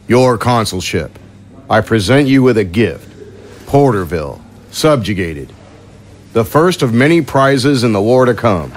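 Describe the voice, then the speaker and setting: A man's voice: medium pitch, big pitch swings, measured pace, slight background noise. A man playing a loyal aide who delivers military dispatches in an audio drama. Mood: declaiming.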